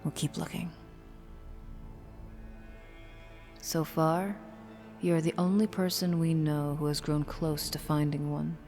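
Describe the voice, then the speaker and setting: Woman playing a cold, low-voiced commanding cult leader. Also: level tone, warm tone. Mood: solemn, grave. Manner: measured, imperious. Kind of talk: dramatic audio drama acting. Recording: long hall reverb. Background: eerie horror music.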